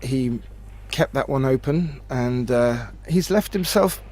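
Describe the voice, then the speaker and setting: A man giving expert pool analysis as co-commentator, thoughtful and a bit halting. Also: medium pitch, darkish tone. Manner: analytical, hesitant with fillers, laughs easily. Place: remote booth.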